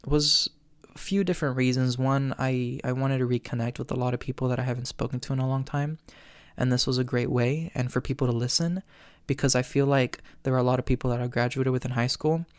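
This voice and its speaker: A man's voice: mid-range voice, clean tone, dry recording. Earnest young man with tired cracking voice. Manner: chatty, earnest, lots of ums and quick laughs. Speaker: podcast host. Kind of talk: solo Q&A chat.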